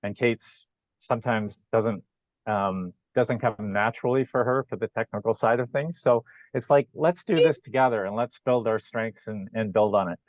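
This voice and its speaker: A man speaking in a measured, hesitant way with many fillers, joining a video call to talk about business. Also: on the low side, no echo. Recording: dull lo-fi video call audio.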